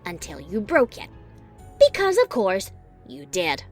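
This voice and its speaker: A man's voice: high voice, wildly swooping pitch, measured pace, faint music behind, voice pushed up, heavily acted. A man voicing an exasperated, sarcastic pony mare sorceress who scolds with biting sarcasm. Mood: scornful.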